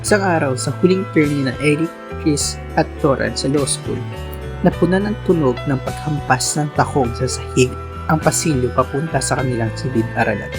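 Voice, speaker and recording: A man as radio DJ, narrating a radio drama introduction in a formal, measured way. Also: fairly high pitch, over music.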